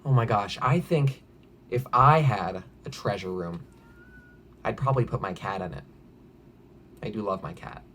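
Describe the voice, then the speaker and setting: A man reading a storybook aloud as a preschool drama teacher, in an exaggerated, animated way, prompting young listeners with questions. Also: lowish voice, unhurried, pauses often, slight background noise. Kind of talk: interactive children's read-aloud.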